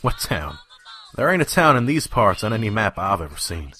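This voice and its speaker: Man playing a low, world-weary wasteland trader in echo. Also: sing-song, moderate pace, sharp tone, faint music behind. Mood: skeptical, jaded. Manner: folksy, drawling, jaded. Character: world-weary male pony trader wandering a wasteland, gruff narrator. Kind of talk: scripted first-person narration and audio drama. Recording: roomy, echoing, distant mic.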